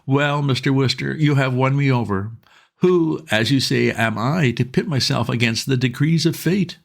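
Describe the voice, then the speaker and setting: A man's voice: mid-range voice, moderate pace, gravelly, pitched above the performer's own voice, lightly acted. A man voicing a sentimental, pompous elderly lord with a quavering, gushing manner. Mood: gracious.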